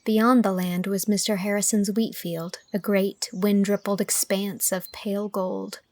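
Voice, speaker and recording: A woman narrating a literary audiobook, telling the story in a measured, expressive way from a script. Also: medium pitch, no room sound.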